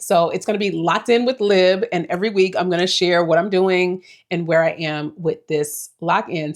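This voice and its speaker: Reflective woman in her forties, low voice. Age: 40s. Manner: reflective, rambling, with small laughs. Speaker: vlogger. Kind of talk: casual life-update vlog.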